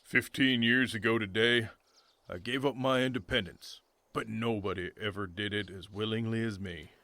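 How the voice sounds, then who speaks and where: A man's voice: fairly low pitch, normal speed, pushed and bright, no echo. A man playing a hearty, devoted cowboy husband and father in a comic western audio drama. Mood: admiring, proud.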